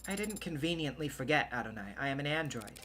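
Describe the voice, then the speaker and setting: A woman's voice: sits low, expressive, fast, fairly bright tone, slight background noise. A woman voicing an earnest, weary female android in a video game, speaking formally and precisely. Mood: defensive. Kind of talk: video game character voice acting.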